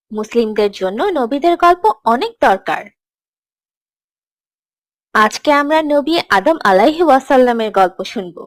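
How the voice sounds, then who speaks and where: A woman playing a gentle, encouraging teacher who tells scripted religious stories to children in a cartoon. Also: on the high side, no echo. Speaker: storyteller, teacher.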